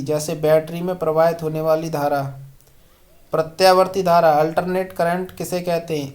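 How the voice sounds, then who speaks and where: Coaching-class tutor, mid-pitched voice, methodically reading out quiz questions with short, clear-cut answers. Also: a little mellow. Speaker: exam coaching teacher. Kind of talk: question-and-answer lesson read aloud.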